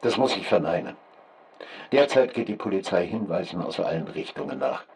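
An actor in a radio play as a formal police inspector giving a guarded, clipped official statement. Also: male voice, low-pitched, big pitch swings, normal speed, a little mellow, husky, exaggerated voice.

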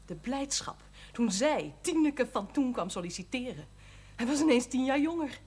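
An actress playing a jealous, hurt young wife from the south of the Netherlands, with a southern Dutch lilt, in an old TV drama. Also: female voice, high-pitched, lively intonation, normal speed, darkish tone, slightly rough. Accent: southern Dutch, Limburg. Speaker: doctor's patient. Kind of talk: 1970s TV drama acting.

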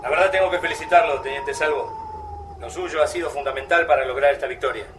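High-pitched, formal Argentine army major. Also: male voice, huge pitch swings, fairly quick, a bit bright. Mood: formal praise. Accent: Argentine Rioplatense. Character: army major, formal, official, confident commanding officer. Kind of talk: radio drama acting. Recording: noisy, distant mix with vehicle sounds.

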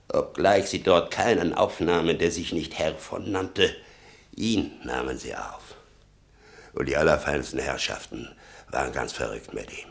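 An actor playing an embittered old father in a German radio drama, gravelly, muttering and brooding with sudden outbursts. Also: a bass voice, full character voice. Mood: scornful. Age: older. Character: embittered old father obsessed with his convicted son.

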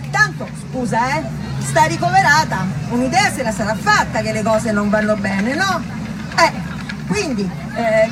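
A woman's voice: sits high, very noisy. A woman playing an evasive male gynecologist in a theatrical monologue, hesitant and falsely reassuring. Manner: hesitant, hedging, colloquial.

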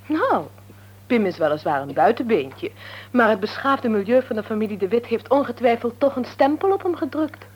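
A haughty, lively young woman. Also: on the high side, normal speed, breathy edge. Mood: haughty, teasing. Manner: prim, tart, mock-formal. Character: sharp-tongued, haughty young woman, amused and proper. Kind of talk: Dutch radio play acting.

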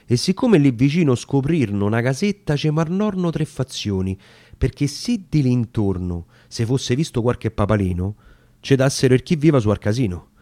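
A man's voice: mid-range voice, sing-song, normal speed, dark tone, no echo. A man with a Roman accent giving an emphatic, theatrical radio recitation of a dialect verse story. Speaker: reader of dramatic verse.

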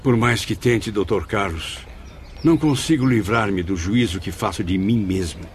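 A man's voice: on the low side, normal speed, slight background noise, lightly acted. An actor playing a melancholy, guilt-ridden male spirit in a radio drama, with solemn, literary Brazilian diction. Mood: self-reproachful.

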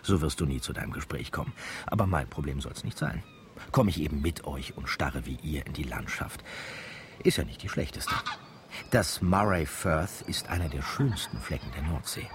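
A man's voice: a bass voice, animated, moderate pace, background noise. A man playing a wry, chatty narrator who addresses the listener in a radio drama. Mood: teasing, informative. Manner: chatty, teasing.

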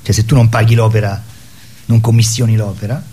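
A man speaks assertively in an interview discussion, with a warm, mellow and slightly low voice. There is some noise behind him. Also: fairly even intonation, a little fast. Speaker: theatre artist being interviewed.

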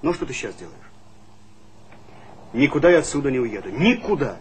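A man's voice: mid-range voice, very animated, fairly quick, close to an everyday voice. An actor playing a hot-headed, idealistic young village man, impassioned and argumentative, in a Soviet stage comedy. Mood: earnest, stubborn. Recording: faintly noisy 1970s theatre recording.

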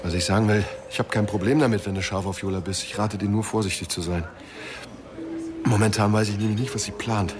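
A man's voice: lowish voice, fairly even intonation, brisk, over music, close to an everyday voice. An actor playing a sardonic male holiday guest in radio drama dialogue, casual and insinuating. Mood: needling.

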